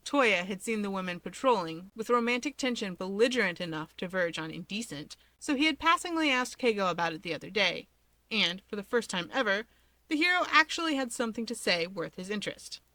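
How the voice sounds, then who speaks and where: A woman's voice: medium pitch, expressive, a little fast, bright, forceful tone, dry recording. A woman reading fiction aloud as scripted narration, telling the story expressively. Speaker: fan fiction reader.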